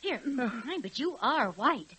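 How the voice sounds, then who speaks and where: A woman's voice: high voice, sing-song, unhurried, sharp tone, breathy edge, full character voice. A woman playing a worried, fussy, talkative wife in a 1940s radio comedy, scolding and exclaiming. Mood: eager gossip. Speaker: radio drama actor.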